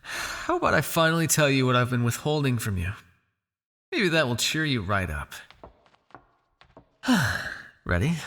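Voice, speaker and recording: A man's voice: mid-range voice, big pitch swings, unhurried, pauses often, a little hiss or hum. A man playing a smug, possessive vampire crime lord in an ASMR roleplay, with a taunting, silky, condescending delivery. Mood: condescending, teasing. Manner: taunting, silky, condescending with sighs and soft shushing.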